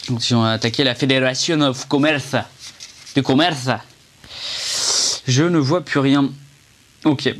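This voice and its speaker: A man's voice: mid-range voice, steady tone, measured pace, long pauses, fairly bright tone, slight background noise. A young man giving a casual, rambling film review, chatty and full of fillers and restarts, speaking indoors to a camera under a ring light.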